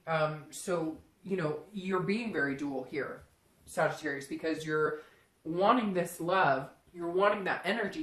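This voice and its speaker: A woman giving a casual, unscripted tarot reading straight to the listener, conversational and often slipping into imagined first-person quotes. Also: lowish voice. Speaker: tarot reader hosting a reading. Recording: roomy, echoing room sound.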